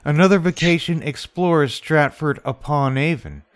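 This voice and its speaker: A man's voice: on the high side, moderate pace. A man hosting a radio interview in a playful, jokey manner. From San Francisco Bay Area, California. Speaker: radio show host.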